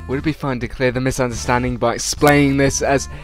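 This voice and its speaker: A man's voice: medium pitch, fairly even intonation, fast. Male let's player reading over game music. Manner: informal, stumbles over the text he reads. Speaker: let's play host reading aloud. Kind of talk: reading visual novel text with casual reactions.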